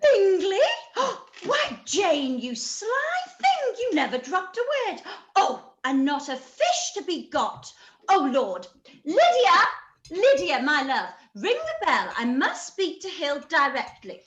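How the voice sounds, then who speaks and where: An excitable middle-aged mother in a period radio play. She is fussy and theatrical, high and slow, drawing out her exclamations in an echoey room. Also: exaggerated voice. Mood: excited fluster. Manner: gasping, exclaiming, drawn-out theatrical delivery. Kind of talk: live-read radio play of a period novel.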